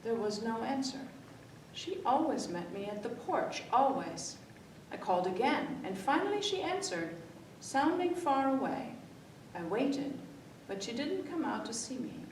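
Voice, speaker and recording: Female children's storyteller, expressive read-aloud, heard at a distance in a very reverberant room. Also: measured pace. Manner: expressive, acts out the dialogue. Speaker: library story-time reader. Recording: echoey room, distant mic, faint rumbly noise.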